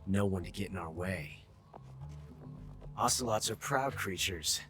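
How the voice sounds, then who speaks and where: A young soldier who fancies himself a gunslinger, in a game cutscene. He is low-voiced and takes his time over every taunt. The delivery is proud, cocky and theatrical, with music clearly playing underneath. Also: expressive, measured pace. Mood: proud, challenging. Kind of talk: video game cutscene acting.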